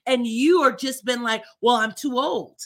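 A woman's voice: a little high, unhurried. A woman in her fifties, a therapist, speaking earnestly and encouragingly in a faith talk conversation, with frequent restarts. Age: 50s. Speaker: therapist, co-host.

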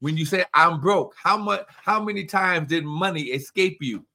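Man, preacherly, emphatic, faith talk co-host, high-ish voice, bright tone. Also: moderate pace, gravelly. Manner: preacherly, emphatic, calls to listeners. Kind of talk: preaching conversation.

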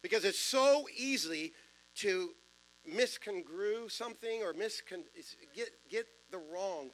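Deep-voiced preacher in a church. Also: male voice, lively intonation, a little slow, fairly bright tone, poor audio. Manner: conversational preaching with self-deprecating jokes and asides. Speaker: pastor. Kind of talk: sermon. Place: church sanctuary.

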